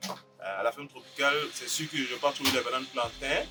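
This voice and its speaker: A man speaks in a measured way, with occasional filler sounds. He sounds somewhat far from the mic, and music is clearly audible behind his voice. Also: medium pitch, fairly even intonation. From Côte d'Ivoire. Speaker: agroeconomist and project coordinator. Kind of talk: interview testimony.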